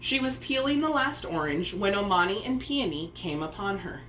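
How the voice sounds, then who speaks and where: Woman, story time reader, lively expressive intonation, children's read-aloud, roomy echo, faint noise. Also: medium pitch, normal speed. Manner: expressive storytelling, addressing young listeners. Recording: echoey room with faint background noise.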